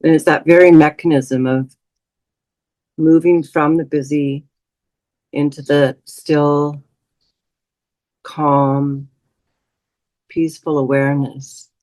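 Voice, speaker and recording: A woman leading a guided meditation as a teacher, speaking in a soothing, unhurried way with long pauses. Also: deep voice, little pitch movement, darkish tone, no room sound, rough recording.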